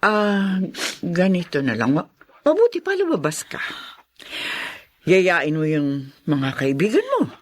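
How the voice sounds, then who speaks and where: Scheming radio-drama stepmother: husky, very swooping delivery, falsely sweet and greedy. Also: lowish voice, very animated, slow, pauses often, full character voice. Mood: falsely caring.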